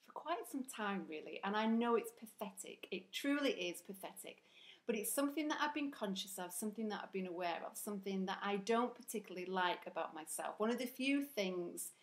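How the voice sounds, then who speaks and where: A woman with a broad Northern English accent talks straight to the camera in a casual vlog. Her delivery is earnest and chatty, full of ums and little restarts, with a voice of ordinary middle pitch. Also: expressive, fairly quick. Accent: broad Northern English, Rochdale and South Yorkshire mix. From Northern England. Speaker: vlogger.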